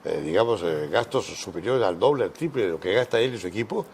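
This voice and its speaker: A man hosting an informal interview, chatty and quick to agree. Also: fairly low pitch, lively intonation, a little mellow, a little hiss or hum. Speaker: interview host.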